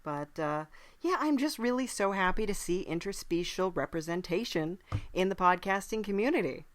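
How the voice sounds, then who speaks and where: Female host, deep register, casual comedic interview, playful and meandering delivery, dry close sound. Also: expressive, normal speed, dry recording. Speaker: podcast host.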